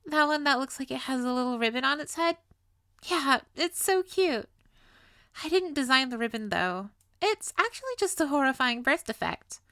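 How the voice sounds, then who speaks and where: A woman's voice: sits high, expressive, normal speed, pushed and bright, dry recording, clean studio sound, heavily acted. A woman playing a sweet, clingy, obsessively lovestruck young witch in an intimate comedic roleplay, with a cutesy, gushing, playful manner. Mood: cheerful, showing off. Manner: cutesy, gushing, flustered stammers, playful asides. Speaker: audio roleplay voice actor.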